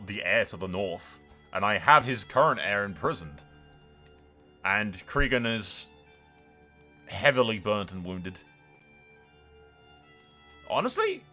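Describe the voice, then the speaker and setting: A man with a bright, forceful voice at a middle pitch hosts a gaming video over music. He commentates off the cuff, swears freely and slips into dramatic storytelling as he addresses his viewers. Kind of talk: casual improvised game commentary with roleplay storytelling.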